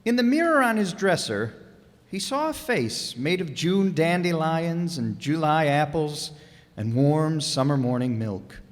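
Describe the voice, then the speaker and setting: A man's voice: fairly high pitch, expressive, a little slow. A man narrating a radio drama from a script in a dramatic storytelling manner. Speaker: narrator and announcer. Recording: echoey hall, long reverb.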